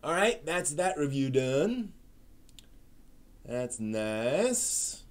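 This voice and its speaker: A man hosting a live stream, giving a manga review in an articulate, expressive way. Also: medium pitch, very slow. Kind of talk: manga review on a live stream, part prepared, part casual chat.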